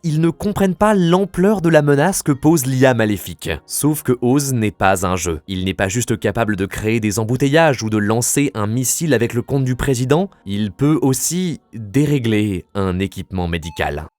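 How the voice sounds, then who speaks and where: A man's voice: medium pitch, lively intonation, normal speed, a little mellow, no room sound. A man narrating a scripted video essay with casual humour, as the storyteller.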